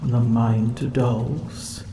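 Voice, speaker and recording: A woman voicing a weary, aloof and vain female dragon, speaking slowly in a languid, often whispered ASMR roleplay. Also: very deep voice, level tone, noisy background, heavily acted. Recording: roomy echo with loud ambient drips.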